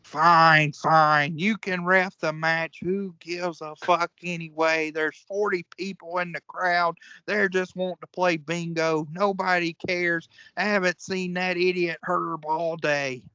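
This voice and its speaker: A man's voice: high voice, fairly even intonation, measured pace, hardly any pauses, bright, forceful tone, clean tone, no echo, muffled recording, exaggerated voice. A man acting in a comedic audio play as a crude, hot-tempered old-school wrestler, folksy and profane. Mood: frustrated.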